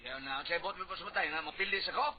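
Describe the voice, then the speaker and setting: An actor playing an earnest, grumbling husband and political candidate in a comic Cebuano radio drama. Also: male voice, fairly high pitch, racing, a little hiss or hum, natural voice. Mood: questioning, annoyed. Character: earnest, grumbling husband running for vice mayor. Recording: dull lo-fi radio broadcast with music.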